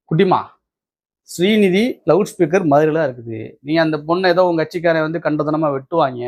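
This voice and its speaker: High-pitched, combative Tamil Nadu male commentator. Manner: combative, indignant, repeats phrases for emphasis. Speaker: political commentator and host. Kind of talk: opinionated political commentary to viewers.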